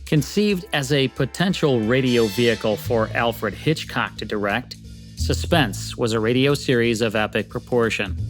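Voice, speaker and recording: A man with an American accent hosting a podcast, reading polished scripted narration. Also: medium pitch. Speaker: podcast host. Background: music.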